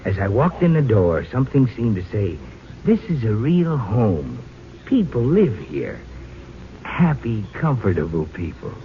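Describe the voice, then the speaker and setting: A man's voice: lowish voice, very animated, measured pace. An actor playing a polite, wistful middle-aged married man in an old radio sitcom, speaking in an earnest, theatrical way. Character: henpecked married visitor, wistful, polite, delighted by mess. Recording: dull, noisy old radio recording.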